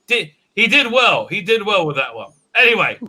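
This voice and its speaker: A man chatting on a video call as interviewer, excitable and tripping over his words. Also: sits high, moderate pace, husky. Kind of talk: casual video chat.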